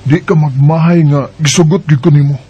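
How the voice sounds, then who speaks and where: A man's voice: fairly high pitch, fairly even intonation, normal speed, muffled recording, over loud music, natural voice. A young man acting the lead in a homemade radio drama, playful and laughing when courting, earnest when troubled.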